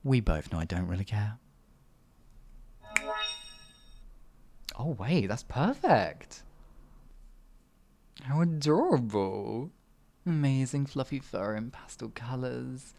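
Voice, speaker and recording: A man voicing a sadistic male wizard in an ASMR fantasy roleplay, cruel yet doting, teasing and cooing with soft whispers. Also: mid-range voice, lively intonation, a little slow, gravelly. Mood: mocking. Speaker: voice actor.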